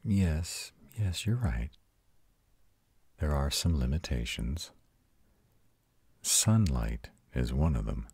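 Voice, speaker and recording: A man voicing a tender, protective male vampire in an intimate, hushed audio roleplay, soothing and husky. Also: big pitch swings, takes it slowly, long pauses, no room sound, exaggerated voice. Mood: reassuring. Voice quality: very deep, husky, often breathy whispering. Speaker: voice actor.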